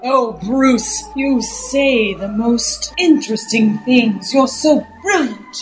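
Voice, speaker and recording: Sultry, devoted mistress, high voice, unhurried delivery, melodramatic classic radio serial. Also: female voice, soft background music, rough recording. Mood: sultry, adoring. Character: sultry, adoring lover of a movie star. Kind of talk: improvised old-time radio drama acting.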